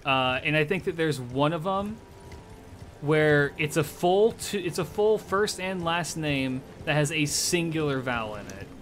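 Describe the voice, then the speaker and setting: A man's voice: on the high side, unhurried, fairly bright tone. A man streaming a video game live, chatting loosely with his viewers, swearing and talking back at the game. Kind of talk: live gameplay commentary. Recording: clear game noise behind.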